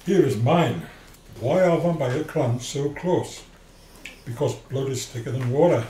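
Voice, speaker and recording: Man playing a mellow, genial vampire. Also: medium pitch, measured pace, lightly acted. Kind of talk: amateur radio play acting. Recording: distant echoey room, faint noise.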